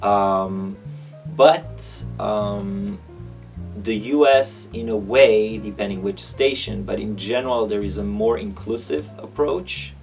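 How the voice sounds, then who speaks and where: Low, gravelly man with an Israeli accent. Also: expressive, recorded from a distance, faint music behind. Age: 30s. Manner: halting, thinking aloud. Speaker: interviewee. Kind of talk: reflective interview answers.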